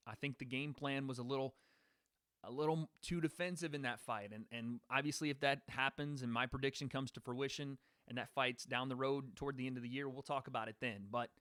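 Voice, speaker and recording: A man's voice: mid-range voice, fairly bright tone, dry recording, clean studio sound. A man hosting a live stream, giving his sports predictions in a casual, rambling way and greeting people in the chat. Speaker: podcast host.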